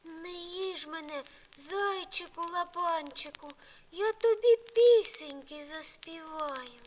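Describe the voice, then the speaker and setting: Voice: extremely high pitch, slow, poor audio. A performer voicing a small cheeky runaway bread bun in a sing-song storybook manner, pleading and boastful. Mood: coaxing. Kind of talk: storybook audio play with singing. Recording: vinyl record transfer with music.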